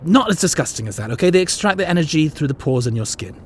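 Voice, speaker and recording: A man playing an eccentric, flippant alien time-traveller in an audio drama, explaining things in an animated manner. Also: on the high side, quick pace, slight background noise, close to an everyday voice. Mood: flustered, dismissive. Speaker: lead actor.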